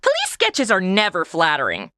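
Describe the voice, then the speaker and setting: A woman's voice: medium pitch, wildly swooping pitch, moderate pace, sharp tone, no room sound, clean studio sound. A woman voicing a sarcastic modern city woman stuck in the Old West in a comedy audio drama, trading snarky quips.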